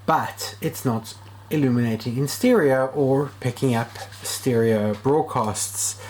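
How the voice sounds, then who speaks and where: A man narrates a casual repair video. His voice is mid-pitched, and he thinks aloud informally as he works. Some background noise sits behind the speech. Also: takes it slowly, darkish tone, poor audio. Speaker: video host and radio repair hobbyist. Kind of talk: casual hands-on repair vlog.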